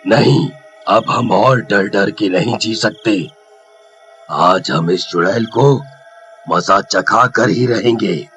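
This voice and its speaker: A resolute village headman with a deep voice. He speaks slowly and firmly, with authority, in animated-story style. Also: faint music behind. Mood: determined. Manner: firm, authoritative. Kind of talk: Hindi animated story acting.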